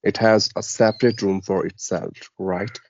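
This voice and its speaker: A man's voice: lowish voice, steady tone, moderate pace, darkish tone, muffled recording, slight background noise. A man interviewing a guest, speaking formally and praising effusively.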